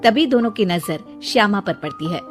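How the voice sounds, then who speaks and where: A woman's voice: mid-range voice, lively intonation, moderate pace, faint music behind. A woman narrator reading a scripted story in a dramatic storytelling style.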